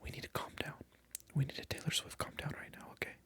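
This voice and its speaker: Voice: fast talker, bright, forceful tone. A person performing a whispered ASMR monologue close to the microphone, addressing the viewer in a teasing, mock-indignant, repetitive way. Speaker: ASMR video creator.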